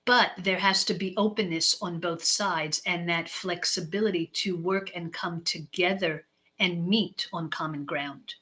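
A woman guest expert giving measured interview answers, stacking her points in lists and letting sentence ends fall soft. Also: on the low side, steady tone. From United States. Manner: deliberate, stacks phrases in lists, trails off softly at ends. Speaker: guest expert on leadership and communication.

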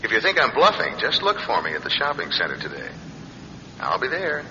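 An actor playing a menacing blackmailer in a classic radio drama, voicing a threat in a mock-polite, taunting way. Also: male voice, mid-range voice, wildly swooping pitch, fairly quick. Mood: taunting, threatening. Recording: dull, noisy, long-reverb vintage radio voice-over.

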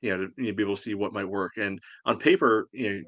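A man's voice: lowish voice, fast, a bit bright, dry recording. A man co-hosting a podcast in casual sports talk, thinking aloud and hedging as he goes. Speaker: podcast co-host.

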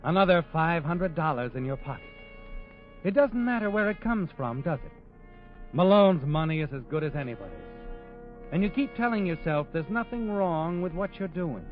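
An actor playing a sardonic, all-knowing narrator in a 1940s radio crime drama, dramatic, deliberate and needling. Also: male voice, fairly high pitch, expressive, faint music behind, natural voice. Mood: taunting. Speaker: host and narrator. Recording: tinny narrow-band old radio recording, musical backing.

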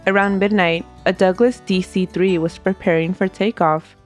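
Podcast host lady reading a history story, lowish voice, bit stuffy from a cold, faint music behind. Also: normal speed. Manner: steady storytelling narration.

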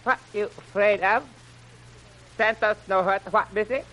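A woman voicing an evasive, quietly menacing plantation servant man who speaks halting broken English in 1930s radio style. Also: mid-range voice, fairly even intonation, natural voice, poor audio. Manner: halting broken English with stock foreign phrasing.

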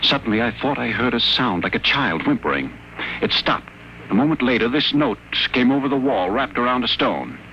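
An actor playing a guarded, secretive addict patient, confiding in low, careful tones, in 1940s radio acting style. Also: male voice, expressive, brisk, pushed and bright, slight background noise, low-quality recording.

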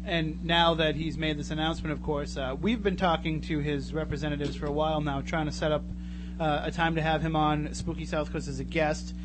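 Higher-voiced man hosting radio, noisy recording. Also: little pitch movement, a little fast. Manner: joking, bantering. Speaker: radio show host. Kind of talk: casual live radio talk. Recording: noisy live radio broadcast with hum. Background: clear noise and hum.

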